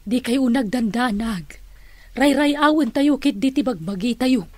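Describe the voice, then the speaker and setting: A woman playing an evasive, worldly middle-aged aunt in a radio drama, with a brisk theatrical delivery. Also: on the high side, darkish tone, no echo, natural voice. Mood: concerned.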